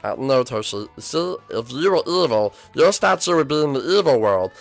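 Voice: mid-range voice, big pitch swings, normal speed, creaky, soft background music. A performer voicing a young male prince who pleads and explains, hesitant and full of fillers, in a homemade toy show. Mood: pleading. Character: young male turtle-dragon prince, the villain's son.

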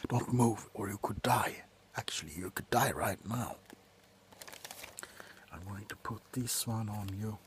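A middle-aged man playing a grumpy, drunk doctor in an ASMR roleplay, grumbling sardonically close to the mic between soft talk and whispers. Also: lowish voice, measured pace, long pauses, background noise, natural voice. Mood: mock urgent. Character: grumpy, drunk male doctor on a Christmas house call. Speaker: ASMR performer. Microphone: very close to the face.

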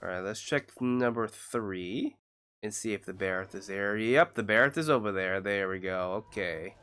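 A young man with a congested voice from a cold, casually commenting on his own gameplay and shouting out when his character gets hit. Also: lowish voice, moderate pace, clear, resonant tone. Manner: chatty, reacting loudly to in-game hits. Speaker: gaming channel host.

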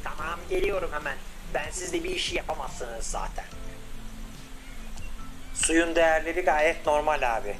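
An eager young scientist, voiced by a man, reporting back cheerfully and respectfully to his older brother in a children's cartoon. His voice sits a little higher than ordinary speech, with faint music behind. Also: expressive, moderate pace, long pauses, natural voice.

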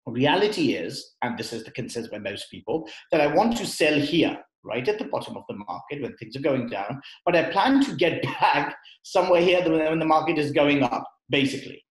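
A man's voice: a little high, lively intonation, normal speed, hardly any pauses. A man giving a conversational webinar talk on investing, explaining with fillers and restarts over a computer mic. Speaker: guest speaker at an investing webinar. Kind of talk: webinar presentation. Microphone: computer mic on a video call. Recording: roomy echo.

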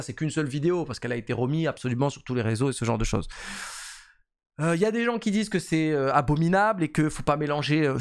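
A man in his thirties giving casual esports commentary to camera from a home streaming room, talking quickly with many fillers and repeated phrases. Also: fairly high pitch. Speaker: esports YouTuber. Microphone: desk mic on boom arm.

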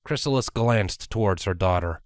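A man's voice: low-pitched, normal speed, no echo, clean studio sound. A man reading scripted story narration as an audiobook narrator.

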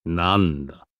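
A man's voice: low-pitched, no room sound, narrow, tinny audio, exaggerated voice. A grand old king from a fantasy game. He speaks in a stately, archaic manner, proud yet genial. The sound is dry and clean. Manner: stately, archaic, self-satisfied. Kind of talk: Japanese game character voice acting.

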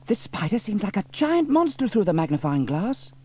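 Excited young girl, high and squealy, voiced by a man. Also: moderate pace, breathy edge, heavily acted.

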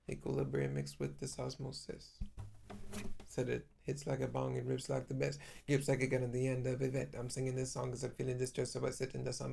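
A young man with a raspy voice, singing along to his own acoustic guitar at a stand mic in a cluttered home music room, then chatting loosely between lines. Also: medium pitch, a little mellow. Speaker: live-streaming musician. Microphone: stand mic in front of him.

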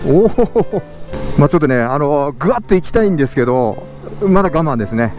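A man narrating a motovlog from his helmet as he rides, excited and laughing, sometimes shouting out and sometimes muttering low. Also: a little fast, dull audio. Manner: excited, laughing, swinging between loud exclamations and low muttering. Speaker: motovlog host. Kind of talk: casual motovlog commentary while riding. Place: outdoors on a moving motorcycle. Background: road and engine noise with background music.

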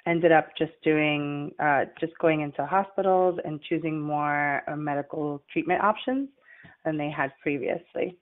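A young woman from Puerto Rico, a midwifery student, giving a halting recorded class presentation full of uhs and restarts. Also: deep voice. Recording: tinny phone-like audio.

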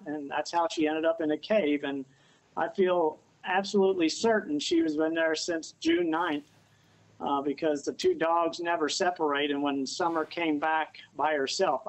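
A man's voice: a little high, creaky, poor audio. Plain-spoken man on a video call. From Missouri. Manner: plain, unhurried, pauses with "uh". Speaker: dog owner, interview guest. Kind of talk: casual remote interview. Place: outdoors on a house porch.

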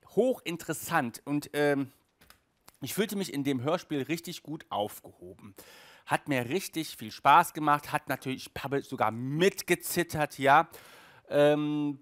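A man's voice: fairly high pitch, a bit bright, dry recording. A man in his thirties presents a casual review in a chatty, emphatic way. He takes his time, dropping in little tag words as he goes. The headset sound is close and dry. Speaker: audio drama reviewer and host. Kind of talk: casual review talk to camera. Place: small indoor room at home.

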